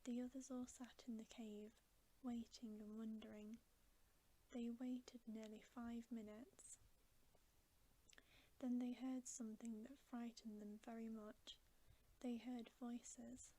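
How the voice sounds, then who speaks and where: A woman softly whispering an ASMR bedtime reading of a children's novel, breathy, gentle and soothing. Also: fairly high pitch, flat delivery, slow, rough recording. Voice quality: soft, breathy, mostly whispered. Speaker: ASMR storyteller.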